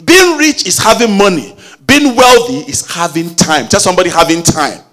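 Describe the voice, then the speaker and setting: A man preaching a sermon with call-and-response exhortations, rising at times into a sung shout. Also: sits high, expressive, moderate pace.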